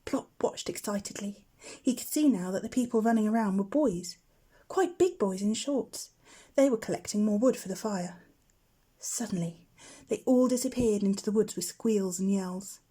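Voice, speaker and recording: A woman's voice: mid-range voice, normal speed, mellow, breathy edge. A woman narrating a children's bedtime story as a teacher would.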